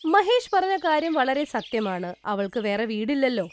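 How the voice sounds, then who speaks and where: A woman's voice: high-pitched, big pitch swings, moderate pace, slight background noise. A woman narrating a scripted children's moral story as its storyteller.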